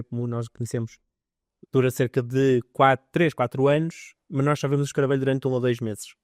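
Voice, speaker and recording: Fast-talking young man. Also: mid-range voice, darkish tone, clean tone, no echo, studio quality. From northern Portugal. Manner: eager, detailed storytelling. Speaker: guest biologist. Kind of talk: podcast interview.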